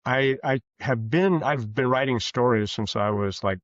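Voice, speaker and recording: A man giving a reflective interview as a podcast guest, speaking earnestly and haltingly, often rephrasing as he goes. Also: mid-range voice. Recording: muffled, roomy, echoey sound.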